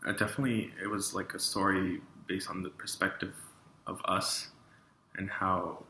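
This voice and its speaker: A man's voice: sits low, flat delivery, normal speed, pushed and bright, reverberant, slight background noise, low-quality recording. A young man from New Mexico answering interview questions hesitantly, with many fillers. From New Mexico, USA.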